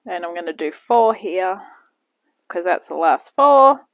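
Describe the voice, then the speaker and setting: A woman's voice: on the low side, animated, a little slow, no echo. Woman, home cook, casual chatty talk, lapel mic, kitchen at home. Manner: chatty, talks while working in the kitchen. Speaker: home cooking channel host. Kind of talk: casual chatty cooking demonstration.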